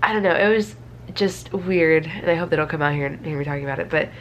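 A woman's voice: sits low, quick pace. A woman in her twenties with a stuffy, congested nose, chatting casually and in a rambling, self-deprecating way to camera. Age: 20s. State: congested from a cold. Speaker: vlogger. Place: small bedroom indoors. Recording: faint background room noise.

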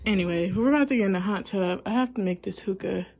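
A woman's voice: mid-range voice, slightly rough, faint music behind. A young woman in her twenties chatting casually to her phone camera, rambling with fillers. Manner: slow, relaxed, rambling with fillers. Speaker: vlogger. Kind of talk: casual vlog chat. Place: small cabin rental indoors. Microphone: phone held at arm's length.

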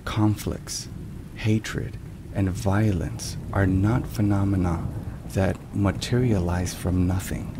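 A man narrating scripted religious ASMR in a deliberate, measured way. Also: low voice, background noise. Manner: slow, deliberate, measured phrasing. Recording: steady rain and thunderstorm sounds behind. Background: rain and thunder.

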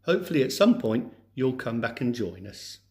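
A man tells a children's story. His delivery is theatrical and expressive, and his medium-pitched voice rises and falls widely. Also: moderate pace. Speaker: storyteller, teacher. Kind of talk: animated children's story reading.

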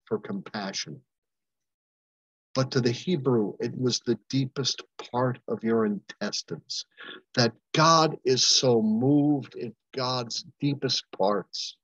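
An older man, a pastor leading a Bible study over a video call, preaching with emphatic, impassioned delivery and long pauses. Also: medium pitch, fairly bright tone, breathy edge, no echo. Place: home room, indoors. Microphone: webcam on a video call. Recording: dull lo-fi webcam audio, dry.

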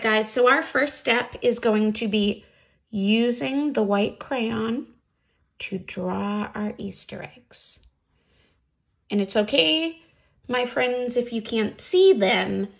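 A woman in her thirties with a fairly high voice. She talks slowly and deliberately, as a children's teacher guiding young viewers. She is recorded indoors at home, a short way from the camera. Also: creaky, low-quality recording. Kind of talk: instructional craft video and read-aloud for children. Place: small home room, indoors.

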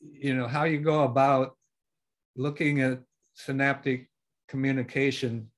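Slow, halting older male podcast host. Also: medium pitch, little pitch movement. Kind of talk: interview questions. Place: home study with bookshelves. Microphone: video call.

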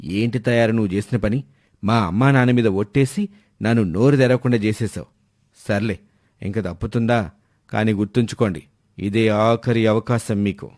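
A man's voice: lowish voice, moderate pace, darkish tone, no echo, studio quality, natural voice. A man playing a stern, exasperated but yielding father, reproachful and grumbling, in a moral story. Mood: grudging.

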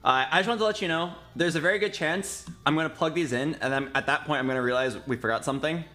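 A man's voice: a little high, fast, sharp tone, soft background music. A man in his twenties chatting casually as a streamer while he directs a recording session. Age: 20s. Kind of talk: casual chat, giving directions. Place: indoor streaming room.

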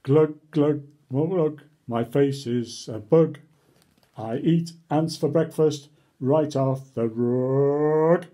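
A man voicing a silly, absurd robot monkey from a children's storybook, in a deliberate, goofy manner. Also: fairly high pitch, mellow. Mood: goofy singsong.

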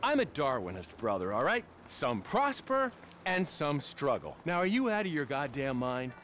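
An actor playing a mocking, volatile middle-aged criminal in a video game, with a North American accent and a sneering, goading manner. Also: male voice, very animated, moderate pace, a bit bright. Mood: goading, intense. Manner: sneering, goading, swings from low drawl to bark. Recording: game audio with vehicle noise behind.

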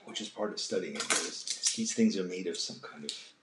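Deep-voiced older man musing as he works. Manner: halting, thoughtful, often trailing off to a murmur. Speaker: host of a live drawing session. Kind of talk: unscripted casual talk while drawing. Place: home kitchen, indoors. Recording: roomy echo, faint background noise.

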